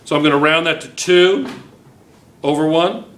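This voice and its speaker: A man's voice: a little high, normal speed, fairly bright tone. A middle-aged man teaching a chemistry class at the whiteboard, encouraging and quizzing his students as he works through problems. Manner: encouraging, questioning students constantly. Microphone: far camera. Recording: roomy classroom from a distant camera.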